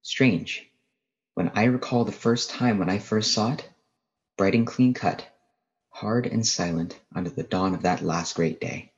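A young man playing a reflective, weary professor who narrates a radio drama in a measured, solemn way. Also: on the low side. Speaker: actor in a drama club radio play. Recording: muffled, band-limited radio-play sound.

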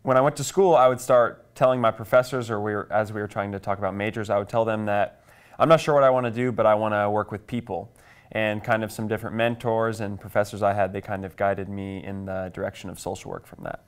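A man's voice: lowish voice, fairly even intonation, fast, clean tone. A man in his twenties from Kansas with an American accent, answering conversationally as an interview guest. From Kansas, United States. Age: 20s. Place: small TV studio, indoors. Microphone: lapel mic.

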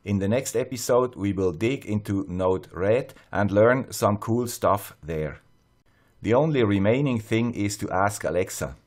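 A man with a fairly low voice and a Swiss accent narrates a scripted tutorial. He explains things step by step in a measured, plain-spoken manner. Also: expressive, darkish tone. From Switzerland. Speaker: host of an electronics tutorial channel.

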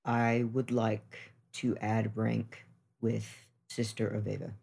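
A player in a tabletop game podcast, talking casually in deliberate, halting phrases. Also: medium pitch, little pitch movement, gravelly, darkish tone, husky.

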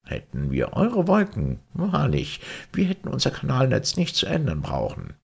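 A man voicing a wise, calm Martian pilot in a storybook, speaking in a formal, eloquent, declamatory way. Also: medium pitch, moderate pace, vocal fry, slightly rough, pitched above the performer's own voice, heavily acted. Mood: admiring, instructive.

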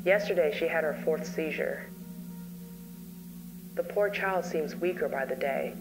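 A woman narrating an analog horror story in a slow, weary, grave voice. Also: sits low, vocal fry, rough recording. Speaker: narrator, therapist. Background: music.

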